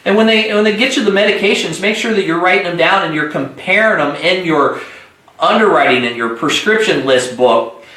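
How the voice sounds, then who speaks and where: Higher-voiced man coaching sales, echoey room. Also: lively intonation, a little fast, bright, forceful tone. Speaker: sales trainer hosting an agent conference call. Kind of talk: instructional sales coaching talk.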